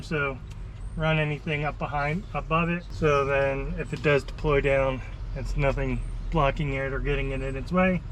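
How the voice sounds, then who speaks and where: A man giving a casual, unscripted hands-on installation walkthrough, thinking aloud informally as he works. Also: on the high side. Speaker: vehicle installer presenting a how-to video. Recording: noisy, with clear background vehicle noise.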